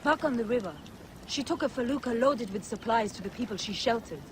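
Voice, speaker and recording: An actor playing a wary street seller and informant in a video game, guarded and plain-spoken. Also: male voice, very high-pitched, lively intonation, a little fast, noisy background. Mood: informative. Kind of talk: video game character acting.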